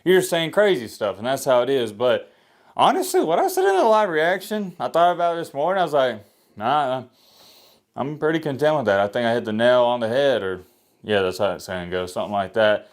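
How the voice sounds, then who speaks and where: A man, rambling through casual sports commentary to his viewers with lively, rising emphasis and the odd sigh. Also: fairly quick. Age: 20s. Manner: animated, rising pitch when emphatic, sighing. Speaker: sports commentator and fan vlogger.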